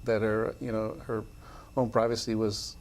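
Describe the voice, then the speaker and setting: A man on a panel speaking in a measured, dismissive way, with lots of "you know.". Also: fairly low pitch, level tone, a little mellow, a little hiss or hum. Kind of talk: casual panel discussion.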